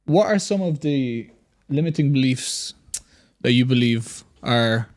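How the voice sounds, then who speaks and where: A man's voice: mid-range voice, expressive, a little mellow. Man asking long questions on a podcast. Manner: measured, with fillers, builds long questions.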